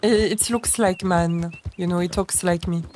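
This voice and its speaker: A woman's voice: lowish voice, fairly even intonation, a little slow, darkish tone, a little hiss or hum, close to an everyday voice. A woman with a French accent, playing a frank and curious young French woman on horseback who speaks plainly in slightly broken English. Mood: curious, chatty. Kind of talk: role-play in a western game.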